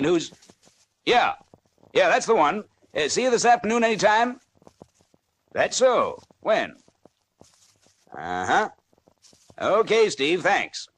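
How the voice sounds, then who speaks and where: An actor playing a small-town newspaper editor in a radio serial, reassuring yet evasive and a little condescending. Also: male voice, high-pitched, fairly bright tone, exaggerated voice. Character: smooth-talking, dismissive male small-town newspaper editor. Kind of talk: 1940s radio serial acting.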